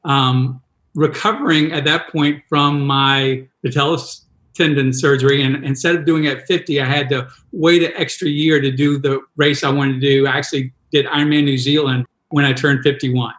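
A man's voice: mid-range voice, fairly even intonation, moderate pace, fairly bright tone. Rambling man in his 60s, roomy sound. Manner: rambling, self-deprecating, frequent restarts. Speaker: guest, triathlon coach. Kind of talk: casual storytelling in a podcast interview.

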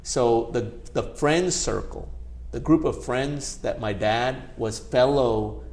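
A man's voice: medium pitch, slow pace, a little mellow. A middle-aged man from India whose first language is Gujarati, a guest in a casual interview, talking in a chatty way with many "you know" asides. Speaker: guest, company founder.

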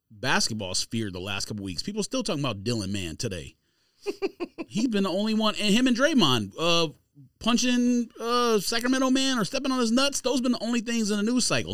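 A man's voice: high voice, fairly bright tone, dry recording, clean studio sound. A man in a casual sports podcast debate, argumentative and slangy as he contradicts and argues his points.

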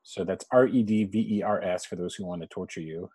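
A man's voice: low voice, fairly even intonation, fairly quick, warm tone, breathy edge, dry recording. A man in his forties, a guest telling family stories in a chatty, laughing way. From born in Canada, raised abroad. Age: 40s. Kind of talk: casual storytelling interview.

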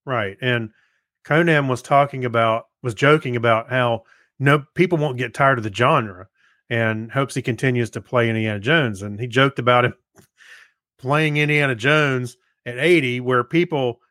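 A man's voice: medium pitch, a little slow, pushed and bright, dry recording, studio quality. A man co-hosting a podcast in casual chat, conversational and given to joking. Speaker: podcast co-host.

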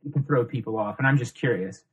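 Laughing, swearing young podcast host. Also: male voice, mid-range voice, fast talker, breathy edge, dull audio. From Ohio, USA. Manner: loose, laughing, swears freely. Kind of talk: casual podcast chat and interview.

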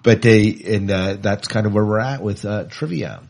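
A man's voice: fairly low pitch, normal speed, gravelly, darkish tone. A man hosting a podcast in a casual, chatty, informal way, often repeating himself. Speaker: podcast host.

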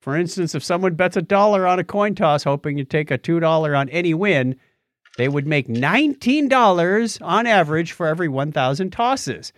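A male podcast co-host chats casually and comments on news with wry jokes. His voice sits a little high and swings expressively, in a dry recording. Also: lively intonation, normal speed, dry recording.

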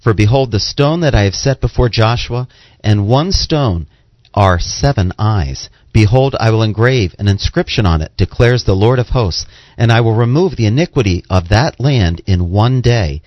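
A man giving a formal, measured reading of Bible verses. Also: on the low side, animated, dry recording, dull audio. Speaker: scripture reader.

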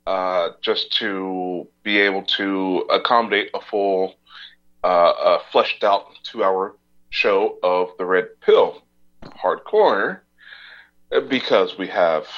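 A man hosting a podcast, speaking haltingly with many uhs. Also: on the low side, fairly bright tone. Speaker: podcast host. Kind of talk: casual podcast announcements. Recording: dull lo-fi audio.

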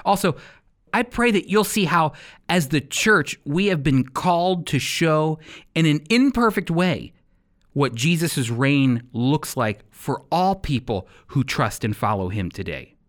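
A man's voice: a little high, expressive, a little slow. A man hosting and teaching on a radio Bible program, speaking in an earnest, emphatic, sermon-like way.

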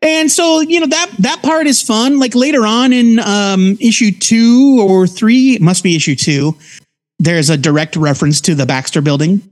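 A man with a notably high voice co-hosts a casual review podcast. He explains things in detail, in an easy conversational way with a geeky bent. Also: moderate pace. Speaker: co-host of a comics review podcast.

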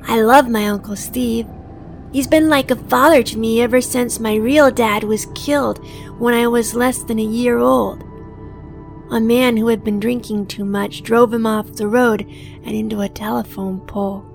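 A woman's voice: on the high side, steady tone, measured pace, vocal fry, faint music behind. A woman playing an earnest, innocent seven-year-old boy in an audio drama. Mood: earnest, matter-of-fact. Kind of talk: audio drama acting and first-person narration.

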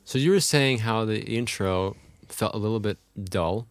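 A man's voice: lowish voice, normal speed, no room sound. Jokey man with a dry close sound. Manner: jokey, interrupting, filler-heavy. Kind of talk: casual movie discussion.

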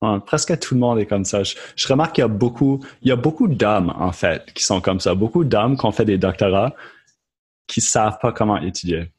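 Acadian-accented man, low, hesitant speech. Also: brisk, darkish tone, slightly rough. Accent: Acadian French. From Moncton, New Brunswick, Canada. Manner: hesitant, many restarts and fillers, earnest. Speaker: podcast guest. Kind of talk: casual conversational interview.

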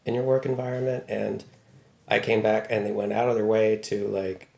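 A man's voice: fairly low pitch, flat delivery, moderate pace, gravelly, a little mellow, echoey room. A man from Baltimore, talking casually and thoughtfully as an interview guest, with a wry tone and many fillers. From Baltimore, USA. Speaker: news writer, guest.

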